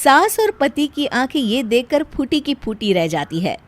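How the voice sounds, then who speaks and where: A woman's voice: high voice, big pitch swings, measured pace. A woman storyteller reading scripted narration for a story.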